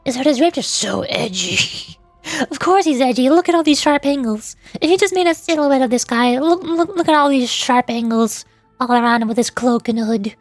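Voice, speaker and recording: A woman's voice: sits high, moderate pace, faint music behind. A young woman doing casual livestream game commentary as a VTuber, playful, teasing and rambling.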